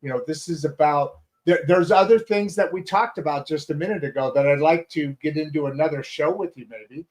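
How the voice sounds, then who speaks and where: A talk show host with a slightly raised pitch, talking in a loose, rambling way. He restarts his sentences often and keeps circling back before he gets to his point. It sounds like a casual home-studio setup. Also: a little fast, few pauses, low-quality recording. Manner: chatty, rambling with many restarts and fillers. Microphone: video call setup from a home studio.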